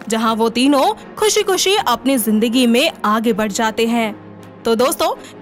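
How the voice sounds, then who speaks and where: A woman's voice: high-pitched, fairly bright tone. A woman reading a scripted moral story as its narrator.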